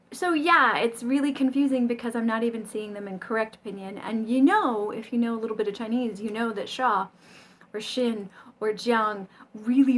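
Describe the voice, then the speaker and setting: A middle-aged American woman chatting casually to camera about learning Chinese, rambling and self-deprecating, with frequent ums and little laughs. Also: on the high side. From United States.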